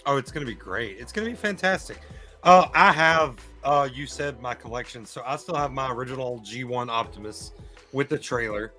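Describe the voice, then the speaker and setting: A man's voice: medium pitch, lively intonation, a little fast, pushed and bright, soft background music. A man hosting a casual live-streamed fan chat, explaining things in an enthusiastic, rambling way. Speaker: podcast host.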